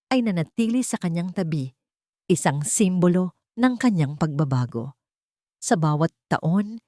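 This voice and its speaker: A woman's voice: on the low side, darkish tone, dry recording, studio quality. A woman with a Filipino accent reading a scripted dramatic story as its narrator.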